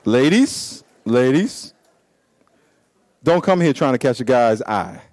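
A man in his fifties, a pastor preaching a blunt, joking sermon to his congregation, with swinging pitch and sudden pace shifts. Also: lively intonation, takes it slowly, darkish tone, no room sound. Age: 50s.

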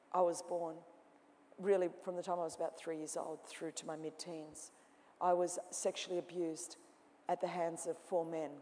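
A woman's voice: on the low side, slight background noise. Woman preaching in a reverberant hall. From Australia. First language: Greek. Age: 40s. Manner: dramatic, swings from loud mimicking to hushed intensity. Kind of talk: sermon. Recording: long reverb of a large hall.

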